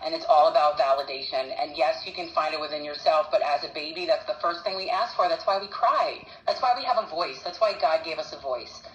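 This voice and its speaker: A woman's voice: deep voice, a little fast, few pauses, reverberant, dull audio, slight background noise. A woman on a video call speaking earnestly and reassuringly as a caller. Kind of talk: casual video call chat.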